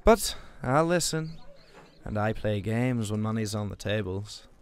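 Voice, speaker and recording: A slow-talking villain of radio drama. He is a greedy backstreet weapons dealer, sly and theatrical, who drags out his threats and sales talk alike. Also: lowish voice, lively intonation, clean tone. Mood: menacing. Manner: sly, theatrical, mangles plurals.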